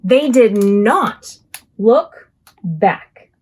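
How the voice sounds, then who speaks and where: A woman hosting a preschool storytime as a children's librarian, reading picture books aloud expressively with drawn-out words. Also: medium pitch, slightly rough. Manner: expressive, drawn-out words and acted sound effects.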